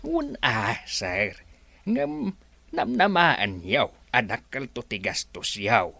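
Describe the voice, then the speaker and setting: A Filipino man playing an obsequious, comically timid resort worker in a radio comedy, speaking in halting phrases. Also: medium pitch, big pitch swings, vocal fry, sharp tone, no echo, full character voice. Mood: timid, deferential. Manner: halting, swooping deference. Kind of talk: comedy radio drama acting.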